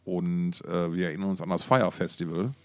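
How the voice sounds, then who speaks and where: Low-voiced man chatting on a podcast. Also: fairly even intonation, a little fast, clear, resonant tone, no echo. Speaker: podcast co-host. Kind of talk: casual chat about music.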